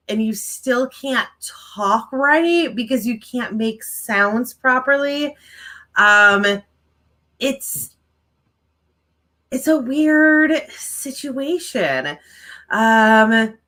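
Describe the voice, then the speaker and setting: A woman chats to her viewers in a relaxed, rambling way, with lots of fillers along the way. Her mild stutter slips in on repeated words. Her voice sounds bright and forceful. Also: on the high side. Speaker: writing livestream co-host and author. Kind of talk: casual chat to viewers.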